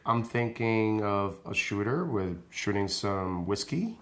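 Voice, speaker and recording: A man, an artist guest, chatting in a relaxed, easygoing way. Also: fairly low pitch, slow pace, slight background noise. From Dominican heritage. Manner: relaxed, easygoing, laughs readily. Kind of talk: casual interview chat.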